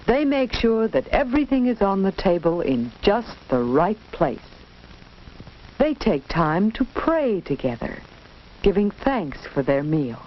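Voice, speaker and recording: A woman's voice: mid-range voice, sing-song, a little slow, lo-fi sound. Woman narrating an old 1940s educational film. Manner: simple, instructive, addresses the listener directly. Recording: band-limited 1940s film soundtrack, background noise.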